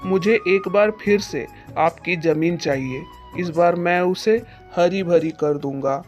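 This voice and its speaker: Humble farmer, slow and earnest. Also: male voice, high voice, a little mellow. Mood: earnest, determined. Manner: pleading, earnest, respectful. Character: humble, earnest poor male farmer, determined. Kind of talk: moral-story cartoon dubbing. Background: background music.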